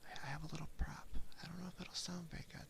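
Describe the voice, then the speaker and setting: A woman streamer speaking in soft, intimate whispered ASMR, chatting casually and dotingly to her viewers close into a binaural microphone. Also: sits low, fairly even intonation, very fast, noisy background. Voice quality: breathy whisper with heavy vocal fry. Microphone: close binaural ear microphone.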